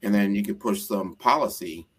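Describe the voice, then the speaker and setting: A man from the United States with a fairly low voice, speaking conversationally as the host of an interview. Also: moderate pace, a little hiss or hum. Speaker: show host.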